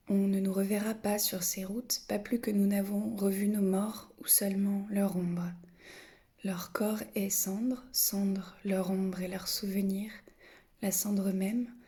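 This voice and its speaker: A woman recites verse at a very slow pace. Her voice is soft and breathy, close to a whisper, with little rise and fall in pitch. The delivery is solemn and contemplative, each phrase measured and set apart by pauses. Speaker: poetry reader. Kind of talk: solemn literary poetry recitation.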